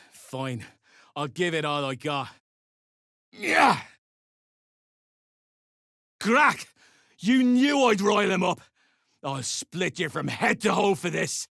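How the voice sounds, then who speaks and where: Duergar herdmaster, video game, gruff, coarse, threatening, unhurried delivery, dry studio sound. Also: male voice, a little high, a bit bright, dry recording, heavily acted. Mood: impatient, coarse, then fierce, threatening. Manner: curt, threatening, coarse mercenary bluster. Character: gruff, foul-mouthed duergar slave-driver and herdmaster. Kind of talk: video game character dialogue.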